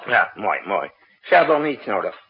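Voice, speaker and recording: A husky, commanding wartime president. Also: male voice, fairly low pitch, big pitch swings, unhurried, heavily acted. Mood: confident. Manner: curt, authoritative, gives clipped orders. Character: commanding, brisk male president directing a night-time military crisis. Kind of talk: 1960s Dutch radio drama acting. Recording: muffled, husky-sounding old radio broadcast recording.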